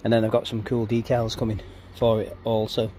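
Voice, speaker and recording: A man's voice: lowish voice, completely flat delivery, brisk, dark tone. A man chatting informally and ramblingly as he narrates a casual handheld DIY vlog outdoors. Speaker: DIY vlogger. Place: outdoors in a back garden. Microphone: camera or phone held by the speaker. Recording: outdoor ambient noise, handheld camera.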